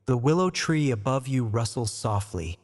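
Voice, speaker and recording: A man narrating a scripted bedtime meditation story in a gentle, soothing, measured way. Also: mid-range voice, expressive. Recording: long reverb tail.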